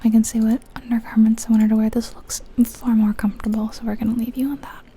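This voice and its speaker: A woman whispering softly and breathily in an unhurried, musing ASMR commentary on a video game. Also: on the high side, level tone, breathy edge. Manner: hushed, unhurried, musing aloud.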